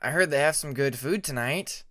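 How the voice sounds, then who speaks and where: A man's voice: fairly high pitch, moderate pace, bright, forceful tone, no room sound, clean studio sound. A man voicing a friendly young male dragon prince in a storybook reading, casual and amiable. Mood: cheerful.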